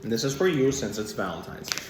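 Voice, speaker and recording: A man's voice: mid-range voice, very animated, quick pace. A man giving simple, child-friendly explanations and storybook reading in the informal style of an animal educator. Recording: roomy echo, some background noise.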